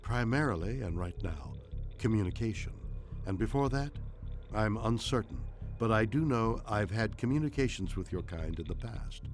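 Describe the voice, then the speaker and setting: Man voicing a low, ancient enigmatic alien being. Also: lively intonation, faint music behind, lightly acted. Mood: enigmatic, measured. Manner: measured, formal, cryptic. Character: ancient enigmatic male alien entity, calm and formal. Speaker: voice pack character. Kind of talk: scripted game dialogue.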